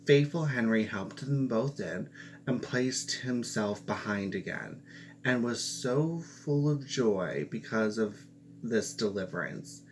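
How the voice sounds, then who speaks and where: A man reads a fairy tale aloud at a slow, unhurried pace, sometimes stopping to restart a word. His voice sits at an ordinary middle pitch. A faint hum lingers in the background. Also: animated, rough recording. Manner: slow, careful reading with small restarts. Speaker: storyteller.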